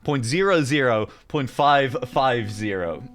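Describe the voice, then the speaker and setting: A man co-commentating a racing game, playful and quick to laugh, his pitch swinging up and down. Engine noise and hiss run steadily behind his voice. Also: animated, a bit bright. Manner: playful, giggly, self-deprecating. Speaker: esports co-caster. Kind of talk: casual live esports commentary.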